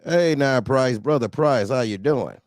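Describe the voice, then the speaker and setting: A man's voice: medium pitch, unhurried, dry recording, studio quality. A man hosting a casual call-in talk show, telling slangy anecdotes about trucking and acting out bits of dialogue. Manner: slangy, animated anecdote telling with acted-out quotes. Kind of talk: casual trucking chat and storytelling.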